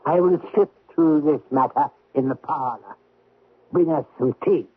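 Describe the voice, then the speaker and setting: An actor playing an impatient, authoritative examining magistrate in a 1970s radio drama, questioning briskly and peremptorily. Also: male voice, on the high side, slightly rough. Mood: testy. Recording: tinny vintage radio broadcast.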